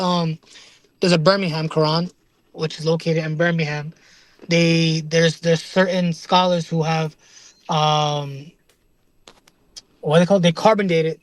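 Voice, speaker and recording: Young male debater with a high voice and dry sound; earnest and casual, talks in restarts and keeps asking "right?". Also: dry recording, rough recording. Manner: casual slang, calls listener "bro".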